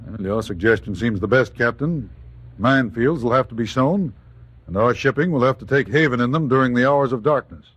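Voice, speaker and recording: Navy staff officer, medium pitch, formal, matter-of-fact, businesslike, wartime radio drama. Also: male voice, brisk, fairly bright tone, breathy edge, dry recording. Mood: reasoned, serious.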